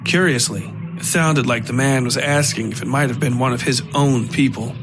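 A man reading scripted dark fiction as the narrator of a horror podcast. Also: mid-range voice, normal speed, background noise. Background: music.

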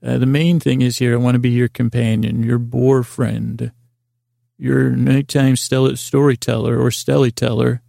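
A man's voice: mid-range voice, little pitch movement, measured pace, gravelly, warm tone, no echo, clean studio sound. A man hosting a podcast in a meandering, goofy bedtime monologue, with tangled sentences that double back on themselves. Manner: silly, goofy wordplay. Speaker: podcast host. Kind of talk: rambling bedtime monologue to help listeners fall asleep.

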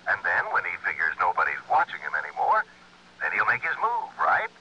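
An actor playing a fussy, eager insurance man on the telephone in a radio drama, inquisitive and raspy. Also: low-pitched, fairly quick, lo-fi sound, a little hiss or hum, full character voice. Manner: animated, inquisitive, deliberating aloud. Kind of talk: 1960s radio drama acting. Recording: thin telephone voice on old radio recording.

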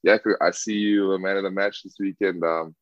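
Low-voiced young South African man. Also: steady tone, normal speed, no echo. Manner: hesitant, many fillers and restarts. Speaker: rugby player guest. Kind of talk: casual interview answers. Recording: dull lo-fi dry sound.

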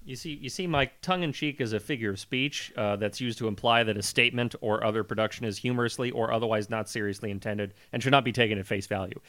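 A man's voice: mid-range voice, fast, hardly any pauses, fairly bright tone. A man co-hosting a comedy podcast with sarcastic, mock-indignant, exasperated banter. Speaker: podcast co-host.

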